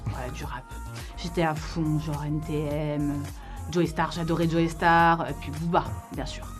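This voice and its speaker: A woman's voice: deep voice, flat delivery, a little slow. Slangy woman chatting over music. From Morocco and southern France. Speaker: interviewee in a couple's question game. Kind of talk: casual chat. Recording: music bed behind the voice.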